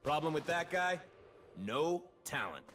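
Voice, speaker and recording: A man's voice: fairly high pitch, sing-song, unhurried, a bit bright, soft background music. A man voicing a cocky, flamboyant bird-man warrior in a video game, vain and mocking, with a theatrical, teasing delivery. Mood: scornful. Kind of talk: video game character voice lines.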